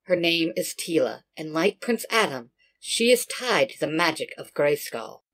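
A man reading a story and putting on the voice of a calm, mystical sorceress who speaks softly and solemnly. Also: on the high side, measured pace, pitched above the performer's own voice, heavily acted. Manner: soft, solemn and urgent. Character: calm mystical female sorceress, falcon spirit.

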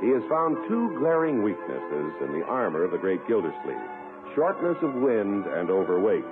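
A man's voice: lowish voice, big pitch swings, moderate pace, music in the background. An American man announcing in a polished, emphatic 1950s radio style, reading scripted copy. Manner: polished, emphatic salesman delivery. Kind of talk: 1950s radio advertising read and scripted narration. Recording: narrow, tinny 1950s radio recording.

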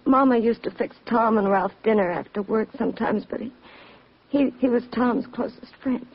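A woman's voice: on the high side, normal speed, vocal fry, slightly rough. An actress playing an earnest, worried young woman in a 1940s radio drama. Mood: subdued, anxious. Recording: muffled old radio recording.

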